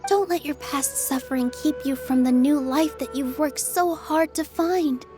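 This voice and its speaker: Game VO: kind young dancer, high and gentle, sympathetic and encouraging. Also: female voice, a little slow, music in the background. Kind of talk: video game voice acting.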